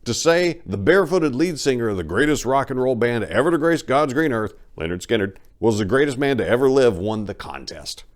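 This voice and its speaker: A man's voice: mid-range voice, very animated, brisk, few pauses. A man reading a first-person story as dramatic audiobook narration, in a folksy, colloquial storytelling manner.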